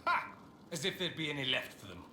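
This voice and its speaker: A man's voice: fairly high pitch, big pitch swings, fairly quick, sharp tone, reverberant, slight background noise, exaggerated voice. A man playing a sardonic, upper-class fantasy mage in a video game, speaking in a theatrical, condescending way. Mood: sardonic, dismissive. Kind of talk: video game dialogue acting.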